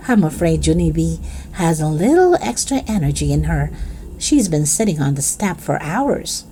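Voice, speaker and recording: A firm, weary mother from a children's storybook, voiced by a woman reader. She speaks in a lowered, measured tone, chiding her energetic child. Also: slight background noise.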